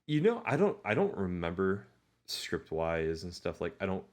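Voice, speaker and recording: A man's voice: low voice, wildly swooping pitch, normal speed, a little mellow. A man co-hosting a podcast, chatting casually and conversationally about movies. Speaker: podcast host.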